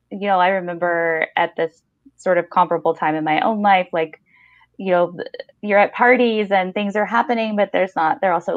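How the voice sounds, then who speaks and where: A woman's voice: fairly low pitch, dry recording. A woman in her thirties on a video call, a podcast reader and co-host who reads and talks about short stories. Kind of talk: short story reading and casual book chat. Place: small room at home, video call. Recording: slightly dull video-call audio.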